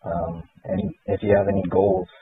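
A man's voice: on the low side, fairly even intonation, moderate pace, creaky, slightly rough, no echo. A male interviewer asking a musician easygoing questions, often saying "right on". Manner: easygoing, short acknowledgements like "right on". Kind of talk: casual interview. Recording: dry, tinny phone-like sound.

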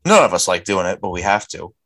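A man's voice: sits low, very animated, fast, fairly bright tone. A young man co-hosting a casual podcast chat, talking bluntly and grumbling, with frequent swearing. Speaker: podcast co-host.

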